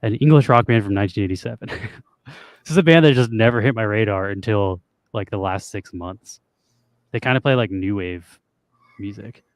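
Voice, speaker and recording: A young man with a low, raspy voice, chatting loosely and swearing casually as a podcast co-host. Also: moderate pace, no room sound. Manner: loose and profane, trails off with fillers. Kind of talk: casual chat with guitar and singing.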